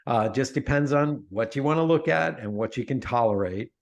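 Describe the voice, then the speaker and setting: A middle-aged man talking as a guest in a casual interview, emphatic and animated, often restarting his sentences. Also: medium pitch, normal speed, creaky. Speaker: podcast guest, author.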